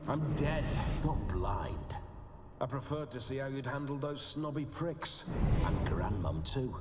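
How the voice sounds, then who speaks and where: A man's voice: medium pitch, lively intonation, a little slow, close to an everyday voice. An actor playing the jovial, boastful ghost of a hard-drinking nobleman brawler in a video game. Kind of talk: video game cutscene acting. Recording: game audio with reverb and music.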